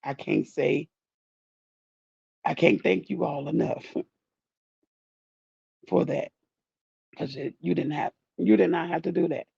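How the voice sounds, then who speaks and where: A grieving man with a groggy, deep voice, talking in a rambling, heartfelt way as he thanks his viewers in a casual chat. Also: takes it slowly, vocal fry, pauses often, husky, no room sound, muffled recording. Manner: soft-spoken, rambling, heartfelt. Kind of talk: casual direct-to-camera chat.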